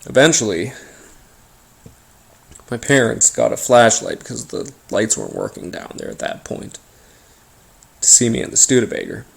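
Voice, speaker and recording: An American man telling a first-person paranormal story in an informal, halting way, pausing between short phrases. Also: medium pitch, huge pitch swings, vocal fry. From Appalachian region of the United States. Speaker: host and narrator of a paranormal story series.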